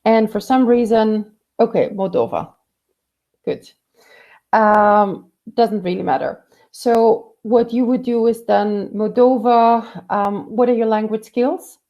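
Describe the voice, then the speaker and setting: A woman's voice: on the high side, slow, lo-fi sound. A woman career coach answering viewer questions in a conversational way, with many fillers and restarts. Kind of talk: live Q&A career advice.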